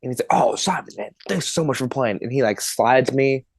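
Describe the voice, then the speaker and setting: A young man in his twenties tells a story on a podcast with loose, laughing energy and the odd curse word. His voice sits in an ordinary middle pitch. The recording is dry and close, with no room sound. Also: brisk, no echo. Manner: animated, laughing, swearing storyteller. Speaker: podcast guest, drummer. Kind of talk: casual storytelling chat.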